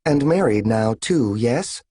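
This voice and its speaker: A man reading an audiobook, voicing a frail older mother whose words come through a voice modulator, calm, even and pleasant. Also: mid-range voice, takes it slowly, husky, no room sound, studio quality, pitched above the performer's own voice.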